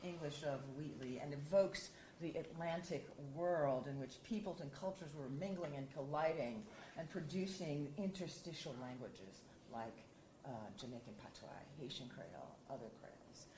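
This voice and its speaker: A woman editor reading poetry aloud at a symposium, measured and deliberate. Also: low-pitched, animated, warm tone. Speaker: anthology editor and reader. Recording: roomy hall with faint hiss.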